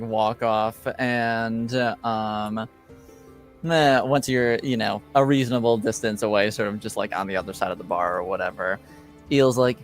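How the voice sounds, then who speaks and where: A man running a tabletop game, stopping often with "uh" and "um". He speaks at an everyday pitch, with a soft music bed under him. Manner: halting, many fillers. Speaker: game master.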